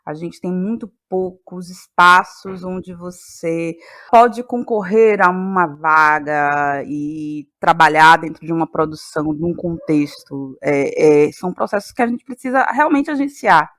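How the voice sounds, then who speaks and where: A woman's voice: fairly low pitch, unhurried, fairly bright tone. A woman in her forties with a Bahian Brazilian accent, talking reflectively as an interview guest, building points emphatically through repeated phrases. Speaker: guest, playwright, actress and journalist.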